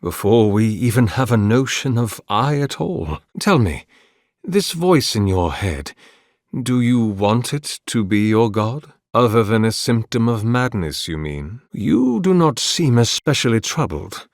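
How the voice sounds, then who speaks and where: A man acting a video game role as a weary, courteous, devout scholar-priest of a sun god, speaking in measured, formal, reverent phrases. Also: medium pitch, very animated, no room sound, studio quality. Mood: thoughtful. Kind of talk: video game character dialogue, formal and archaic.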